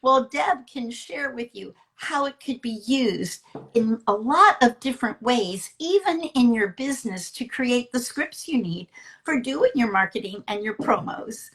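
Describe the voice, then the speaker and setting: A woman's voice: on the high side, animated, unhurried, a bit bright. A woman hosting a promotional interview, speaking in an encouraging, explanatory way. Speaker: interviewer and storyteller.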